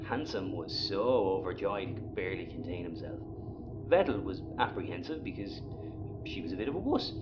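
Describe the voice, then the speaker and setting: An actor playing a grumpy, sarcastic, exasperated spaceship captain in a sci-fi audio comedy. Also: male voice, low-pitched, highs cut off, noisy background. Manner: exasperated, sardonic.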